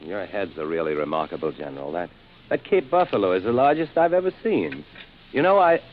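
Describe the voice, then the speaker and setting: An actor playing a cool-headed American adventurer, earnest and well-mannered, in 1940s radio drama style. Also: male voice, deep voice, animated, a little fast. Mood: curious. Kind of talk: 1940s radio acting and first-person narration. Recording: muffled, very noisy vintage radio recording.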